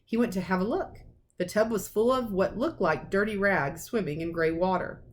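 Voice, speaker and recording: A woman's voice: medium pitch, normal speed. Woman reading a story, noisy recording. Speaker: teacher reading a storybook aloud. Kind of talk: expressive storybook narration. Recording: clearly noisy recording with clicks.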